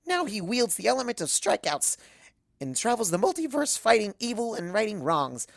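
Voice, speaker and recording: A woman voicing an excitable, silly young female cartoon pony with chirpy, gushing delivery. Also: mid-range voice, normal speed, creaky, no room sound, clean studio sound, pitched above the performer's own voice. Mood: dramatic, scatterbrained. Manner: chirpy, gushing, childlike phrasing. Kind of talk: first-person cartoon fan fiction narration.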